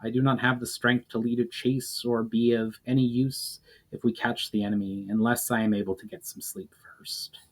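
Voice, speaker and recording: Male reader as fantasy war leader: weary, principled, earnest, deliberate, commanding. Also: medium pitch, level tone, slightly rough, natural voice, poor audio.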